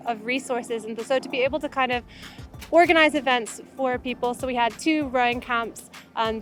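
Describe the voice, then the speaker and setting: A young woman rower from Uganda speaks in a relaxed, reflective way, her voice sitting a little above the usual pitch. She talks casually, with a few "um"s and "kind of"s, as in a documentary interview. Faint music plays softly behind her. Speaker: Olympic rower.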